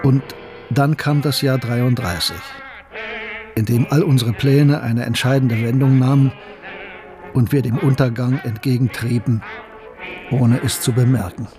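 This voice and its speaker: A man's voice: mid-range voice, steady tone, measured pace, pauses often, lightly acted. An actor playing a German academic who tells his own story in a radio drama. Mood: reflective, grave. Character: German academic named Gustav, reflective narrator, hot-headed in argument. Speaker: narrator and character in radio drama. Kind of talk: dramatic first-person narration. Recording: music behind the voice.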